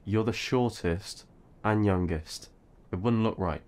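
An actor playing a bossy, responsible teenage eldest brother in an audio drama, stern and scolding. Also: male voice, fairly low pitch, takes it slowly, darkish tone. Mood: irritated.

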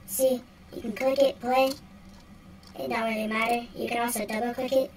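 A child or young teen giving a casual software tutorial in an offhand, slangy way. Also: mid-range voice, flat delivery, moderate pace. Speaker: tutorial presenter. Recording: distant mic with clear background noise.

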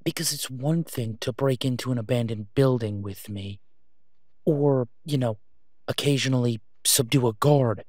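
An exasperated, protective masked vigilante, acted by a man in an audio drama. His mid-pitched male voice is urgent and emphatic, pausing to press each point as he pleads down the phone. The recording is close and dry. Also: a little mellow, no echo, lightly acted. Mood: exasperated, warning. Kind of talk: one-sided phone-call audio roleplay.

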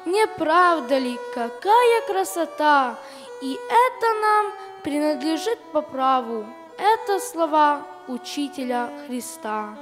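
Young woman, high-pitched reciter, long hall reverb, music behind. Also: sing-song. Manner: slow, measured recitation. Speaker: poetry reciter. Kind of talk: recited devotional poem. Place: large church hall. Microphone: handheld mic.

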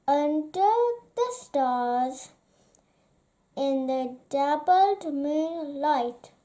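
A woman reading a children's bedtime story aloud in a gentle, lulling manner, phrase by phrase with pauses. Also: high-pitched. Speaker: children's book reader.

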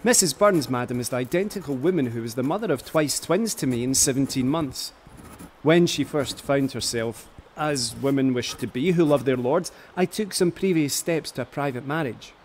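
An actor playing an ardent, proud young Scottish poet, eloquently voicing a heartfelt letter in a radio drama. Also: male voice, medium pitch, normal speed, slight background noise, lightly acted, poor audio. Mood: heartfelt, candid.

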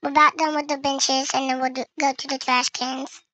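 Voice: high-pitched, flat delivery, brisk, sharp tone, no echo. A young gamer giving casual gameplay commentary, with the voice run through a voice changer. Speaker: gaming video creator.

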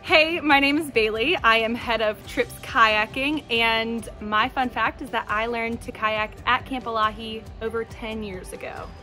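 A woman's voice: on the high side, fairly even intonation, measured pace, few pauses, sharp tone, soft background music. A young woman cheerfully introducing herself outdoors as a kayaking trip leader. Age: young adult. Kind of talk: casual self-introduction. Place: outdoors under trees.

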